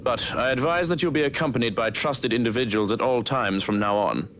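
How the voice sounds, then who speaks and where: An actor voicing a composed, blunt, protective man with a measured, formal delivery in a game. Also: medium pitch, fairly bright tone, slight background noise, natural voice. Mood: measured warning. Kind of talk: visual novel voice acting.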